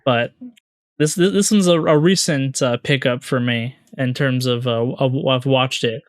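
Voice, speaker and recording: A man chatting casually as a podcast co-host, speaking haltingly and repeating his phrases. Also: medium pitch, clean tone, no echo, clean studio sound.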